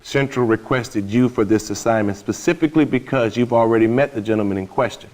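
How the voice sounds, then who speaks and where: A man's voice: mid-range voice, brisk, darkish tone, natural voice. A middle-aged man playing a commanding, businesslike military officer delivering a formal mission briefing in a video game. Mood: stern.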